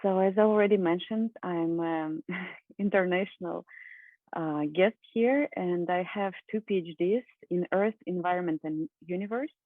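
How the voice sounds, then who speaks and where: A woman from Russia in her thirties giving an earnest conference talk over a video call, with frequent fillers and soft laughs. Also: on the low side, no echo. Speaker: conference speaker. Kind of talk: presentation talk. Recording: phone-like dry online call.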